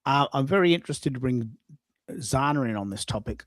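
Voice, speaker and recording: A man's voice: mid-range voice, animated, brisk, dry recording. An Australian man hosting a casual online chat show, emphatic and repeating phrases for stress. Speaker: host of a live online audio show.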